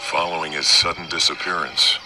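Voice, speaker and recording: A man's voice: a bass voice, steady tone, a little fast, over music, low-quality recording. An actor playing a serious, wary male government agent in a dramatic survival horror video game. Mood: grave, brooding.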